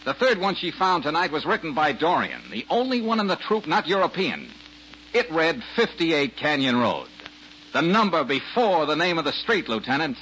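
An actor playing an easygoing, sharp male detective in a 1940s-style radio drama, with a halting, folksy delivery. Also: fairly high pitch, big pitch swings. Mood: explaining. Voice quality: halting, folksy, full of uhs. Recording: dull lo-fi old radio broadcast with faint hiss.

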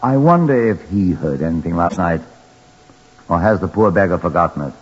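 A man's voice: low voice, lively intonation, normal speed, slight background noise. A man playing a shrewd police chief inspector who narrates wryly, in 1940s radio acting style. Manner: wry, reflective narration. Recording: lo-fi vintage radio recording.

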